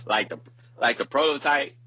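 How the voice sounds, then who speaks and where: A man's voice: mid-range voice, expressive, moderate pace, sharp tone. A man co-hosting a casual music podcast, talking in a rambling, slangy, profane way. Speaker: podcast co-host. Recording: muffled lo-fi podcast audio with hum.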